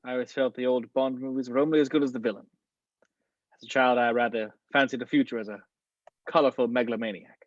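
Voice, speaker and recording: A suave gentleman spy, read by a man for a home table read. He speaks in a refined, measured way. The recording is dry and a little dull. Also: mid-range voice, fairly even intonation, no echo, lightly acted. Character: suave, polished gentleman spy, middle-aged. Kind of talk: amateur online table read.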